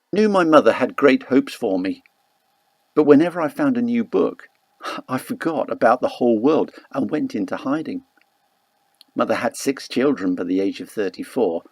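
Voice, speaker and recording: A man narrating a first-person literary novel as an audiobook, reading in a measured, deliberate way. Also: lowish voice, darkish tone, breathy edge, no echo.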